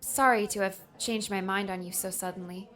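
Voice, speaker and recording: A woman's voice: mid-range voice, fairly even intonation, moderate pace, a bit bright, close to an everyday voice. A woman voicing an earnest, hesitant young adventurer in conversational audiobook dialogue. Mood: hesitant, apologetic.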